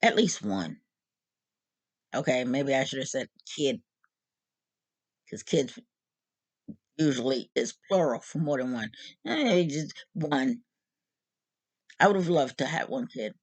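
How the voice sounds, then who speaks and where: A woman in her late fifties talking openly to her audience in a casual, animated, joking monologue. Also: extremely low pitch, slow, pauses often, husky, no room sound. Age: 50s. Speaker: vlog host.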